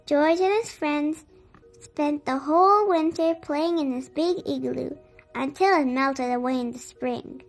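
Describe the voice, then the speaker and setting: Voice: high voice, unhurried, faint music behind. A storybook reader narrating a picture book expressively for young children.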